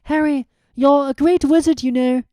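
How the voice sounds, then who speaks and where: A woman voicing an eager, bossy, clever schoolgirl witch in a storybook reading, brisk and excited. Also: high-pitched, no echo, clean studio sound, voice pushed up, natural voice. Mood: anxious. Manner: brisk, excited, know-it-all.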